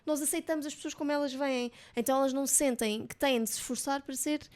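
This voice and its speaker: A woman's voice: sits high, fairly even intonation, fast, darkish tone. A woman with a European Portuguese accent co-hosting a casual political chat, emphatic and indignant, with hesitant fillers. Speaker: podcast co-host.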